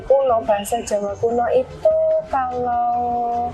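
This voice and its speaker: A woman explaining language in a casual chat, pausing now and then to find her words. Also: on the high side, fairly even intonation, background noise. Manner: thoughtful, pauses to find words. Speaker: language expert guest.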